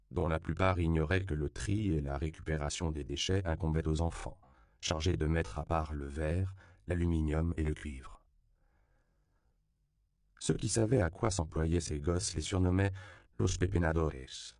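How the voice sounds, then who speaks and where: A man reading a novel aloud as an audiobook narrator, in a calm, measured way. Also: extremely low pitch, vocal fry, clean tone, no echo, clean studio sound.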